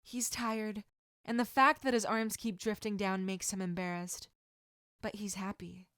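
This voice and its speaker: A woman's voice: medium pitch, flat delivery, unhurried, fairly bright tone, smooth, no room sound, studio quality. A woman reading fan fiction aloud as a scripted story narration.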